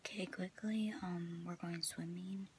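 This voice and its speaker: A young woman chatting casually to her vlog in a halting way, often padding her sentences with "like", recorded on a phone at arm's length in a small room. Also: on the low side, fairly even intonation, over loud music. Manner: halting, filler-heavy storytelling with frequent "like". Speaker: vlogger. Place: small indoor rooms, camper and bedroom. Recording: noisy handheld phone audio.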